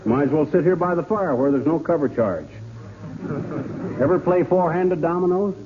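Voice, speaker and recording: A male actor plays a stubborn, bumbling American husband in a 1940s radio comedy. His voice is fairly high and very animated, full of folksy bluster and slang. The old recording is narrow and muffled, with faint hiss. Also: moderate pace, natural voice. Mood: folksy, jovial.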